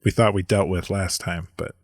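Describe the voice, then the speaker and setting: Low-voiced hesitant podcast co-host, a man. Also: steady tone, moderate pace, dry recording, clean studio sound. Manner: hesitant, many restarts and fillers. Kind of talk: casual movie review chat.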